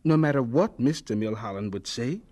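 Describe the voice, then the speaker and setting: A man's voice: mid-range voice, lively intonation, brisk, mellow, lightly acted. A man playing an earnest, humble young gardener and would-be student in a radio drama, speaking politely and a little hesitantly. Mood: resigned, serious. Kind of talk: dramatized radio biography acting.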